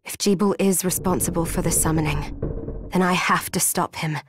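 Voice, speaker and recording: A woman's voice: fairly low pitch, steady tone, moderate pace, music in the background, close to an everyday voice. A woman acting an earnest, determined young fighter in a dramatic video game scene. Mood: gentle resolve. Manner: earnest, resolute.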